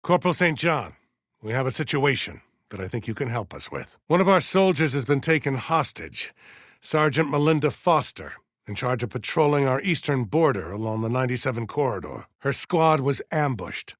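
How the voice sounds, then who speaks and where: A man voicing a stern, aging militia colonel in a video game, formal and measured as he gives orders with deliberate pauses. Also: medium pitch, expressive, a bit bright, no echo, clean studio sound. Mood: grave, urgent. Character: stern aging militia colonel, dutiful, zealous, wary, slightly forgetful. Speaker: military commander giving orders. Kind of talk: scripted video game character dialogue.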